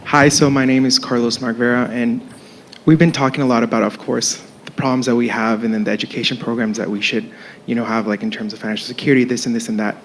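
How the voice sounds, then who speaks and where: A man in the audience asking the panel a question in a quick, informal way. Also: mid-range voice, fairly even intonation, a bit bright, slight background noise.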